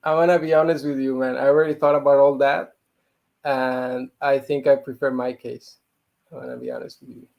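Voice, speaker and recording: A man from Mexico, a guest on a casual livestream video call, frank and thinking out loud as he talks. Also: medium pitch, flat delivery, a little fast, narrow, tinny audio. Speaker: guest reseller.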